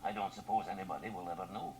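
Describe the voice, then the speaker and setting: An actor playing a sober, kindly small-town husband and father, plain-spoken and steady, in a radio drama. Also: deep voice, racing. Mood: reassuring. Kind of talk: 1980 radio drama acting. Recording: noisy cassette copy of radio drama.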